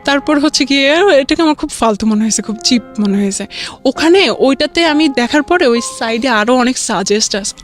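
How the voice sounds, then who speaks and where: A young adult woman with a Bangladeshi accent tells a personal story as an interview guest, rambling and halting, often repeating filler phrases. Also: sits high, expressive, soft background music. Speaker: guest telling own life story.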